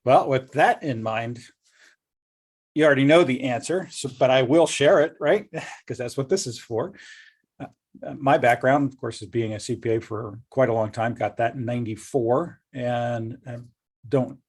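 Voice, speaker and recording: A man's voice: medium pitch, moderate pace, a little mellow, husky. A middle-aged man hosting an interview, jokey and upbeat, rambling as he talks about his career. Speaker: host, company executive. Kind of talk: casual interview talk.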